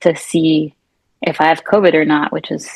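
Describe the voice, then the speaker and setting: Young woman guest, low-pitched, dry close sound, chatty and jokey. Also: level tone, normal speed, vocal fry, a little mellow, husky, dry recording, rough recording. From Houston, USA. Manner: chatty and joking. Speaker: guest, marathoner and physical therapist. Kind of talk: casual interview chat.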